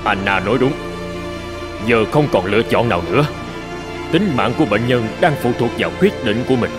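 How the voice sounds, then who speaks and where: A man acts out a middle-aged cartoon professor of medicine, earnest and hopeful. He speaks at a medium pitch in measured, solemn phrases, with music playing behind him. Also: lively intonation, lightly acted. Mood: grave concern. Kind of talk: dramatic animated-film dubbing.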